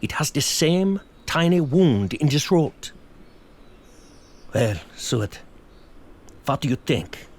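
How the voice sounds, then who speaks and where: An actor playing a learned older professor and vampire hunter in a gothic audio drama, grave, probing and deliberate. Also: male voice, a little high, very animated, breathy edge, full character voice. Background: music.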